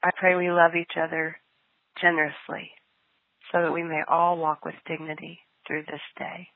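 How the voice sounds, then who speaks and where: Low-voiced woman leading prayer, phone-like sound. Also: flat delivery, normal speed, no echo. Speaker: prayer leader. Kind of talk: guided spoken prayer.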